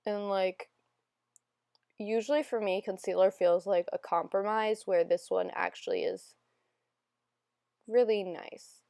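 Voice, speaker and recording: Chatty young woman, gravelly and dry. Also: medium pitch, steady tone, a little slow, pauses often, dark tone, no echo. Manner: chatty, self-deprecating, rambling with fillers. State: slightly hoarse, recovering from illness. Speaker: beauty vlogger. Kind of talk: casual voiceover for a makeup tutorial.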